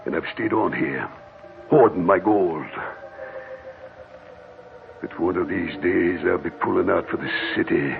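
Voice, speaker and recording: An actor playing a vengeful, murderous old gold miner with an American accent, menacing and folksy in an old radio horror drama. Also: male voice, deep voice, creaky. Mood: brooding, bitter. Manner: menacing, rustic folksy speech, drawn-out dramatic storytelling. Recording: muffled vintage radio recording with music.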